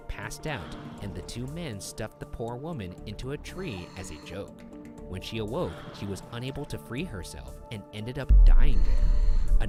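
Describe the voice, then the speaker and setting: Low-voiced man narrating over music. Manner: measured, even storytelling delivery. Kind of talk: scripted documentary narration.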